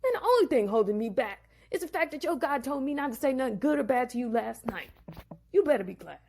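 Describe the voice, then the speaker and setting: A woman's voice: sits high, sing-song, quick pace, creaky, a little mellow, heavily acted. A woman voicing Laban, an angry, scheming older man who rants in slang and claims everything as his own. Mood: indignant ranting. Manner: slangy, ranting, possessive.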